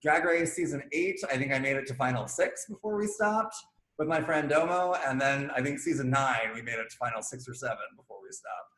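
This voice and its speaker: A man co-hosting a casual fan chat show, talking in an animated, jokey, rambling way. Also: medium pitch, a little fast, reverberant, rough recording. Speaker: podcast co-host.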